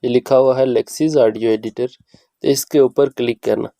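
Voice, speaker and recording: Deep, mellow-voiced man giving a tutorial. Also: fairly even intonation, a little slow, gravelly, no room sound. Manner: instructional, repeats each step. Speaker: video host giving an app tutorial. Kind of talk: spoken step-by-step how-to tutorial.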